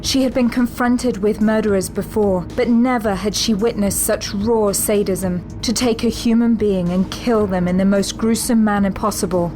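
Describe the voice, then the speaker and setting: A woman's voice: medium pitch, a little mellow, low-quality recording. A woman narrating an audio drama in a scripted, dramatic storytelling style.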